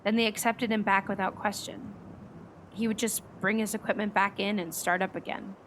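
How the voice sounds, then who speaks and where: A woman's voice: mid-range voice, steady tone, moderate pace, a bit bright, close to an everyday voice. Woman acting a grieving, conflicted storm chaser, noisy recording. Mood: somber, reflective. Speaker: actor in a radio play. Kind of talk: naturalistic radio drama dialogue.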